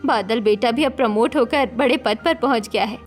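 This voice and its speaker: A woman's voice: a little high, normal speed, faint music behind, lightly acted. Woman acting a middle-aged cartoon mother. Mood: content. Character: middle-aged mother worried about family honour. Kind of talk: Hindi cartoon story dialogue acting.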